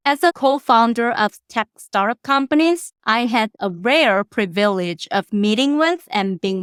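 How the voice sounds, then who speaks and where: A middle-aged woman from South Korea, a professor answering interview questions in measured, polished, formal phrasing. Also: mid-range voice, fairly bright tone, smooth, dry recording, clean studio sound. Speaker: guest professor.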